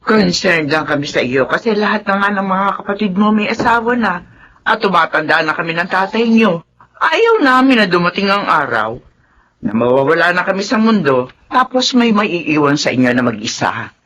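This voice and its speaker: A woman's voice: lowish voice, sing-song, normal speed, a bit bright. An actress playing a concerned, nagging middle-aged Filipino mother in a radio drama, probing and insistent. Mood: worried. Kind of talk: Tagalog radio drama acting.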